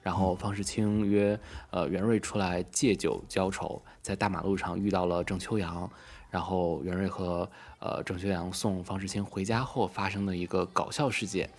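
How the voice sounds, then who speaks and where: A man's voice: sits low, little pitch movement, moderate pace, a little mellow. A man hosting a casual live event, explaining things politely. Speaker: host of a live fan event.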